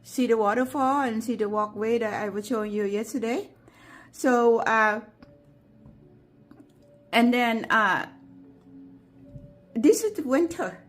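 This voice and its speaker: A woman speaks English with a Thai accent, her first language being Thai. She talks gently and haltingly, often restarting, as she films a home garden tour on a handheld camera. Faint music plays underneath. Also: a little high. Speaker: gardening vlogger. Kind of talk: unscripted walking tour vlog.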